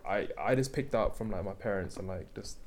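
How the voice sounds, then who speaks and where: A man's voice: deep voice, a little fast. A young man, a podcast guest chatting in a laid-back, slangy way. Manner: laid-back slangy chat, says "bro" and "innit". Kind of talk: casual chat.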